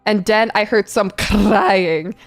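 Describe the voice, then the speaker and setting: A woman's voice: mid-range voice, slow, soft background music. A woman reading a story aloud comedically, with casual banter as a co-reader on a live stream.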